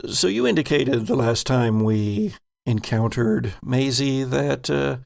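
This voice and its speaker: Slow, very creaky male game master. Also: mid-range voice, animated, darkish tone. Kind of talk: narrating a tabletop game.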